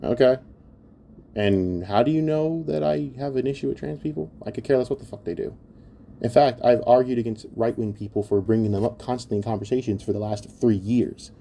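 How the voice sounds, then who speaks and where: A man's voice: mid-range voice, brisk, gravelly, mellow, a little hiss or hum. A man giving an opinionated commentary rant in a blunt, exasperated and scornful manner, swearing heavily. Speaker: online commentator.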